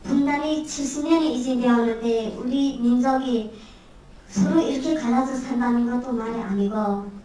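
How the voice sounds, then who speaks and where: A woman's voice: a little high, rapid-fire, mellow, slight background noise. A woman from North Korea, the guest speaker at a Q&A, speaking firmly and emphatically. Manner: emphatic, firm. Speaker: guest speaker, former nurse. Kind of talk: speaking Korean at a Q&A through an interpreter. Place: university lecture hall. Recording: echoey hall from far off.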